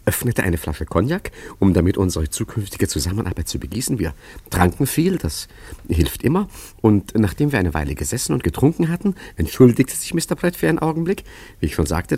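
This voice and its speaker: An actor playing a suave, cunning gentleman adventurer in a German radio play, urbane, wry and smoothly condescending as he recounts his exploits. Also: on the low side, no room sound, full character voice.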